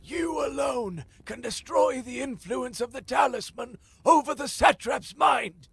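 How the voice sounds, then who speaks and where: Old male seer, high-pitched, very gravelly, portentous, urgent, fantasy audio drama. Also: expressive, measured pace, fairly bright tone, exaggerated voice. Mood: urgent, foreboding. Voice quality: raspy, creaky. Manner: portentous, archaic, declamatory.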